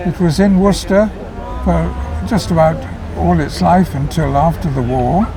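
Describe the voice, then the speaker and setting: A man's voice: on the high side, moderate pace, vocal fry, low-quality recording. An older man with an English accent, explaining things in a hesitant, understated way in an outdoor interview. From England. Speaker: interview guest, classic car club member. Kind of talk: casual interview. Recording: outdoor event with crowd noise behind.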